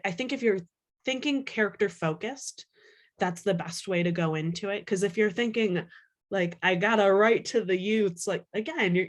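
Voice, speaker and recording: A woman speaks as an author in a relaxed interview. Her voice sits a little low for a woman. She talks in a chatty, conversational way, dropping "like" often and voicing small imagined quotes. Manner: chatty, animated self-quoting, peppered with "like". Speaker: children's author and librarian being interviewed. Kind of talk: casual interview chat.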